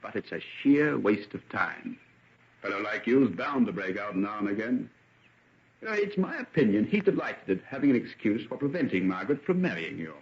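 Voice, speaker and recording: Lively, hearty young British gentleman. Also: lowish voice, moderate pace. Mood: frank, prodding. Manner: jovial, bantering, theatrical. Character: hearty, teasing young English gentleman, fun-loving old friend. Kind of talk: old-time radio drama acting. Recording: dull, band-limited vintage radio recording.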